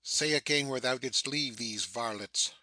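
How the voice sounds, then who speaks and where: A man's voice: mid-range voice, a little slow, sharp tone, no room sound. A man playing a stern, embittered sorcerer and master in a dramatic Shakespearean audiobook, with commanding, theatrical delivery.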